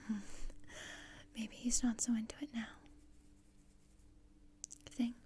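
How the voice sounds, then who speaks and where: Woman acting gothic teen girl, breathy whisper, higher-pitched, flat delivery, morbid, bossy, condescending, ASMR roleplay, close mic. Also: slow pace. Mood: scheming, bossy. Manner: deadpan, dry, matter-of-fact. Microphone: close ASMR microphone. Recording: close-up with clear noise and clicks.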